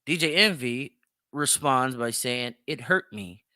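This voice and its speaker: A man's voice: mid-range voice, expressive, measured pace, sharp tone, no echo, studio quality. A man hosting an opinionated solo commentary show, speaking bluntly and emphatically, swearing freely and repeating phrases for stress. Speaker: podcast host.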